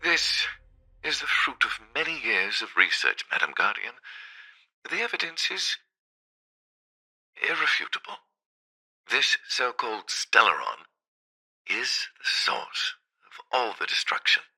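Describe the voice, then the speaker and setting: Intense grave scientist, raspy n very tense, formal halting game dialogue. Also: male voice, mid-range voice, big pitch swings, sharp tone, heavily acted, low-quality recording. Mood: grave, urgent. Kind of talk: video game cutscene acting.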